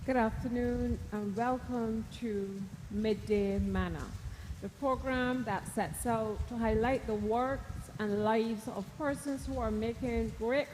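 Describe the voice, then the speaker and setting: Higher-voiced woman hosting a radio-style program. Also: a little slow, a little mellow. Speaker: program host. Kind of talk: broadcast interview. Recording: echoey hall with background noise.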